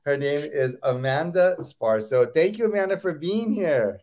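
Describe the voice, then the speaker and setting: A man's voice: a little high, expressive, a little slow, darkish tone. A man hosting a casual interview, enthusiastic and often repeating or restarting words. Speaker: host.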